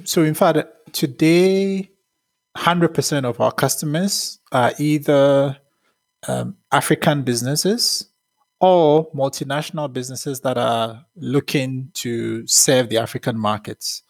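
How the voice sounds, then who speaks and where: A man from Ghana, around his thirties, explains his work as a tech founder. He talks in a measured, thoughtful way, often pausing on small fillers. His voice has a gravelly edge and sits close and dry on the mic. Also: medium pitch, no echo. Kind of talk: podcast interview answers.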